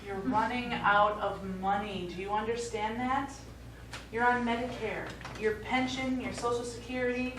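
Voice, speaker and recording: Distant and echoey on a theatre stage, a woman plays a worried middle-aged daughter. Her voice is fairly high as she pleads with her old father. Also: fairly even intonation, moderate pace, fairly bright tone, lightly acted. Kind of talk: live stage play acting. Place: small indoor theatre stage. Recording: echoey theatre, distant mic, faint noise.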